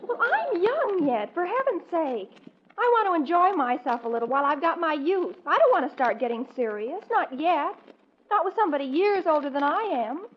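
A dull, slightly noisy old radio recording of a pouty young American girl. Her voice is high and very lively, exasperated and dismissive in the manner of a 1940s family comedy. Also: normal speed. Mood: petulant. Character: petulant, dismissive young niece, teenage or barely older. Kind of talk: 1940s radio sitcom acting.

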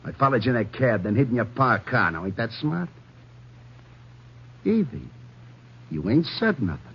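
An actor playing a menacing American gangster on the run in a 1950s radio crime drama, barking curt slangy orders and sardonic threats. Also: male voice, medium pitch, sing-song, normal speed, slightly rough. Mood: gloating. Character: menacing cop-killing gangster on the run, male. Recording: muffled, noisy 1950s radio recording.

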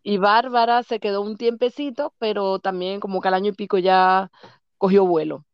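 Dominican woman, smooth and reminiscing. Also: mid-range voice, steady tone, normal speed, dry recording, muffled recording. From Dominican Republic. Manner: rambling, repeats names, laughs often. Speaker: guest. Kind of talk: casual interview, reminiscing.

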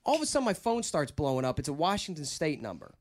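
A man hosting a casual podcast, telling a long story in a rambling, animated way. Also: quick pace. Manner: rambling, animated storyteller who breaks into a squeaky put-on voice. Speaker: podcast host.

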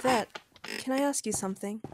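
A woman's voice: a little high, moderate pace, slight background noise, lightly acted. An actress playing a frank, sassy young woman spacefarer, narrating and acting in an informal, emphatic way in an audio drama. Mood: curious.

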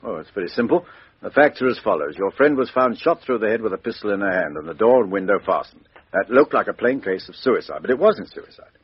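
A man playing a blunt middle-aged police inspector in a 1950s radio drama, brisk and direct, with a husky voice on a dull old recording. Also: lowish voice, animated, no echo. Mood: questioning.

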